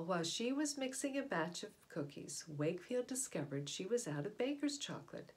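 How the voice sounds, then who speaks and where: Middle aged lady reading a kids book out loud, slightly low voice, deliberate and expressive, recorded at home. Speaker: read-aloud storyteller. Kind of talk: reading a children's nonfiction book aloud. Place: small indoor room at home, in front of wooden doors. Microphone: camera or device mic at about arm's length.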